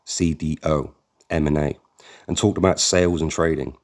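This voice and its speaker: Man with a very deep narrating voice. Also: fairly even intonation, moderate pace. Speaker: audiobook narrator. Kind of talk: scripted memoir narration.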